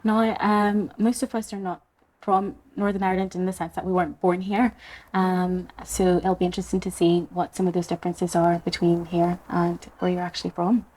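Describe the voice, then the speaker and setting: A woman's voice: lowish voice, flat delivery, normal speed, a little mellow, rough recording. A woman from Zimbabwe hosting a podcast chat, introducing the topic and asking guests to share. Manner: guiding, asks questions. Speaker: podcast host. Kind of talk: casual chat.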